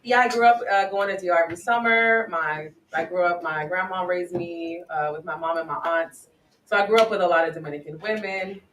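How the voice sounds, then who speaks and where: A young woman, the show's guest, chats casually and drops Spanish phrases into her English. She sits a little off the mic in a bouncy, echoey room. Steady noise runs under her voice. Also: lowish voice, a little fast, distant mic. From Washington DC area. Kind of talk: casual radio chat.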